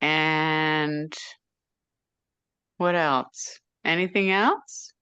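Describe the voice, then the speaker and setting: A woman's voice: deep voice, steady tone, drawn-out words, sharp tone, clear, resonant tone, dry recording, highs cut off. A woman introducing herself in a group meeting, chatty and friendly as she talks about her farm. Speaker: farm owner, meeting participant.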